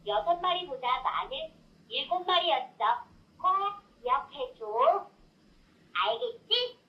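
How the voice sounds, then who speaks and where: A woman's voice: very high-pitched, big pitch swings, slow pace, pauses often. A woman's synthetic storyteller voice reading a children's tale and asking playful quiz questions, cheering the child on, in a roomy, distant, dull lo-fi recording. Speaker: talking doll storyteller.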